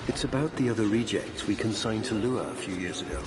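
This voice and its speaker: A man's voice: on the low side, expressive, fairly quick, lightly acted. A man playing a stern, solemn official in a sci-fi video game. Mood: uneasy urgency. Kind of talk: dramatic video game acting. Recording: loud music behind the voice.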